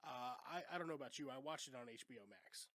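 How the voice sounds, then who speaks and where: A man's voice: mid-range voice, fast talker, fairly bright tone, dry recording, studio quality. Congested man hosting a movie podcast. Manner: laid-back and chatty, swears casually. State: congested, sick with a cough. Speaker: podcast host. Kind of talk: casual movie podcast chat. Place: home podcast studio. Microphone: studio microphone.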